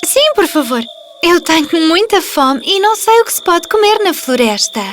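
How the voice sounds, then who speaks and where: A woman's voice: extremely high pitch, big pitch swings, normal speed, fairly bright tone, slight background noise, exaggerated voice. A woman voicing a timid but brave young princess in a cartoon fairy tale, with a European Portuguese accent.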